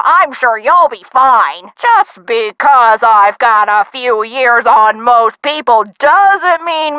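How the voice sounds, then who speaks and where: A woman's voice: high-pitched, sharp tone, dry recording, lo-fi sound, heavily acted. An actress playing a feisty older woman, a gangster's chatty former sweetheart in a video game, with theatrical, folksy old-time slang. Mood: confiding, spirited. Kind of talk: video game character dialogue.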